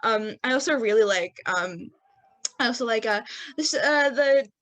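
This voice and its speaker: Giggly, higher-voiced woman chatting. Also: animated, normal speed, fairly bright tone. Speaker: podcast cast member. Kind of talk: casual group chat.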